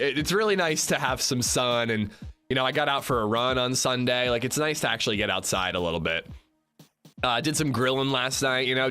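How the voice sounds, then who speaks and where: A chatty male streamer in his thirties, a little congested. His voice is bright and forceful, and soft music plays in the background. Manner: chatty, swings from upbeat shout-outs to low mumbling. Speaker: livestreamer. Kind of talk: casual livestream chat greeting viewers.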